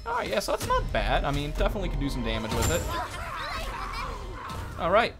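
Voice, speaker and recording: A man's voice: fairly high pitch, huge pitch swings, fairly quick, creaky, loud music behind the voice. A young man hosting a gaming video with casual, informal commentary, often thinking aloud as he plays.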